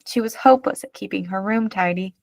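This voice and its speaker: A woman narrates a children's picture book. Her delivery is expressive storytelling at a medium pitch. The recording is dry, with very little echo. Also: brisk, vocal fry. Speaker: read-aloud narrator.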